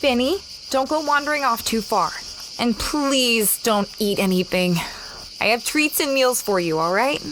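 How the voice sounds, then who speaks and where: A woman's voice: fairly high pitch, animated, unhurried. Woman acting a kind space explorer, chirping jungle behind. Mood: excited, caring. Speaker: narrator and lead character. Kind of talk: audio drama narration and in-scene dialogue. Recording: insect-filled jungle noise behind.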